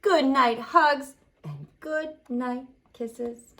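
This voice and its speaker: High-pitched, slow-paced woman leading children's storytime. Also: a little hiss or hum. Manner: expressive, exaggerated delivery aimed at small children. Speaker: library storytime leader reading to children. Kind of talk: animated children's sing-along and picture-book read-aloud.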